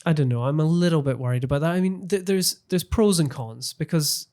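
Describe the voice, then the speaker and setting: British guy in his 30s chatting on a podcast, fairly high voice, earnest, close studio mic. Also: a little fast, a little mellow. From Britain. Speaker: podcast guest. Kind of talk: casual conversational interview. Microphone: close studio microphone on stand.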